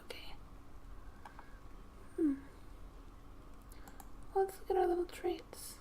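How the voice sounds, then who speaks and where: A woman speaking softly and breathily in gentle ASMR style, often slipping into a whisper as she reads a game story aloud with casual asides. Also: very high voice, fairly even intonation, slow. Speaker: gaming ASMR creator. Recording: quiet background music. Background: soft music.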